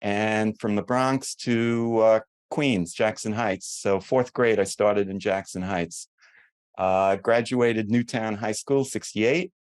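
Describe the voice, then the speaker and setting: A man in his seventies with a strong New York accent tells his story in a loose, rambling way, with wry jokes along the way. His voice sits a little low, and it is recorded close and dry with no room sound. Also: fairly even intonation, takes it slowly, dry recording. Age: 70s. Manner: chatty, rambling, self-deprecating humor. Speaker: guest orthodontist. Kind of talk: casual podcast conversation.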